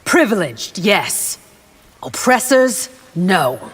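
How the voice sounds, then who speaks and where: An actress playing a devout, steadfast palace guard warrior in a video game, with a stern, dignified manner. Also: female voice, mid-range voice, very animated, slow pace. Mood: stern resolve. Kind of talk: video game character dialogue. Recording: long reverb over game music.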